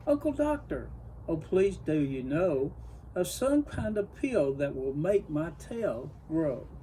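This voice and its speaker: A man voicing a jealous, vain young female bird from a storybook, excitable and whiny in exclaimed rhymes. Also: fairly high pitch, slow pace, slight background noise, low-quality recording. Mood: pleading. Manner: exclaiming, boastful, whiny rhyming speech. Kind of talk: storybook character voice.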